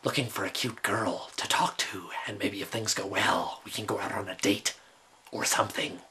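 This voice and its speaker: A man playing an awkward, intense young man with a breathy, halting murmur in a comic dramatic reading. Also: fairly low pitch, fairly even intonation, a crowd in the background, voice pushed down, lightly acted, poor audio.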